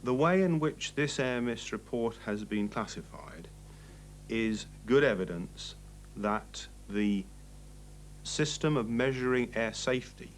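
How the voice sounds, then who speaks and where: A man giving a formal interview comment as a spokesman, in measured, halting phrases. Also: lowish voice, slight background noise.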